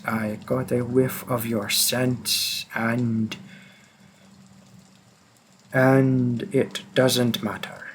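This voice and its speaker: Man acting as driven male vampire hunter, put-on French accent, unhurried halting intensity, audio roleplay, faint noise behind. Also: mid-range voice, fairly bright tone. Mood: distracted, apologetic. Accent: attempted French. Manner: slow, deliberate, halting intensity. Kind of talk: audio roleplay spoken to the listener.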